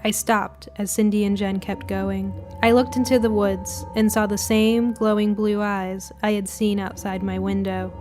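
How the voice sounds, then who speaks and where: A woman's voice: mid-range voice, level tone, a little slow, hardly any pauses, a little mellow, faint music behind. A woman reading a scripted short story in the first person, as a storybook narrator.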